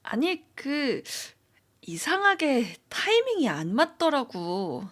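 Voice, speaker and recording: An actress playing a gentle, hesitant young woman who is a senior colleague about to leave her job, in an amateur audio drama. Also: high-pitched, sing-song, slow, heavily acted. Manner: soft, hesitant, friendly.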